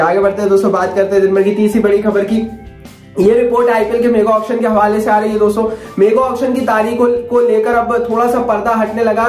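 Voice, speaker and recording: A man's voice: very high voice, darkish tone. A young man presenting a daily cricket news roundup in a chatty way, calling viewers friends and asking for their comments. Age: young adult. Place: small studio with a screen behind. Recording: roomy sound with music underneath.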